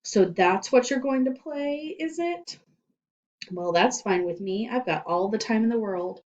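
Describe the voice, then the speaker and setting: A stern school principal from a children's storybook, acted out by a woman reader. He interrogates in a barking, deliberate way, leaning on each question to intimidate. The recording is dull and lo-fi. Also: on the high side, expressive, darkish tone, distant mic, voice pushed up. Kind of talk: children's storybook read-aloud.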